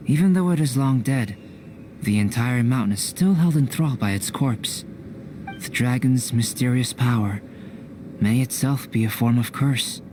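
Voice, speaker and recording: Guy doing calm detached young scientist from an anime game, measured n formal, bit of a noisy backdrop. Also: medium pitch, lightly acted. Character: composed, aloof young male scholar, analytical and coolly detached. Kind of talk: anime-style video game voice acting.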